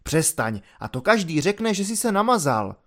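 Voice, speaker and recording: A man reading an audiobook voices a calm, matter-of-fact common soldier. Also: fairly high pitch, exaggerated voice. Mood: unbothered.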